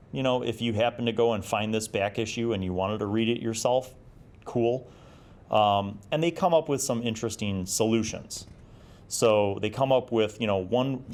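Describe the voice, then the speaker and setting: Low-voiced middle-aged man, casual and wry. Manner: conversational, wry, self-described nerdy. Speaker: host of a retro tabletop gaming review series. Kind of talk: casual commentary, reading and reviewing a magazine article.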